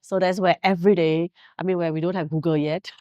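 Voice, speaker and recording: A woman's voice: fairly low pitch, steady tone, quick pace, a little mellow, no room sound. A Singaporean woman in her forties whose first language is Mandarin, telling her career story in a casual interview with frequent laughs and fillers. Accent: Singaporean English. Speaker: guest, hotel director.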